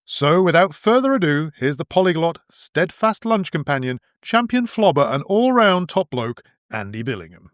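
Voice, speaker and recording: A man hosting a podcast for English learners, speaking clearly with playful introductions. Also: on the high side, very animated, moderate pace, hardly any pauses, no echo. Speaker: podcast host.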